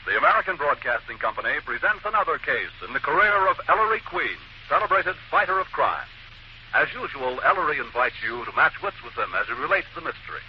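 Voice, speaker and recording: Grand old-time radio announcer, swooping pitch. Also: male voice, very animated, fast talker. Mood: grand, formal. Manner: grand, declamatory delivery. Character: grand male announcer of a detective radio show. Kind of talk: 1940s radio announcing, formal scripted introduction. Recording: dull, noisy old radio broadcast.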